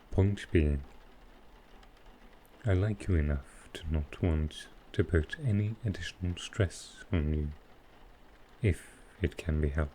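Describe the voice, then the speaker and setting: Guy doing a super deep smooth villain for asmr, flirty and soft spoken, unhurried, bit of noise behind him. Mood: coaxing, concerned. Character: smooth, flirtatious male supervillain courting his tailor. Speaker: voice actor in audio roleplay. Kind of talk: intimate ASMR roleplay.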